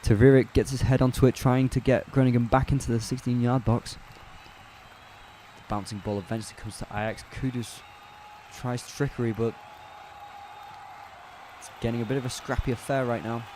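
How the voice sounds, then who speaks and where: A man calling a football match live on a fan watch-along stream, chatty and relaxed, correcting himself as he goes and answering viewers' chat. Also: medium pitch, normal speed, long pauses, background noise. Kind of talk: casual live play-by-play commentary.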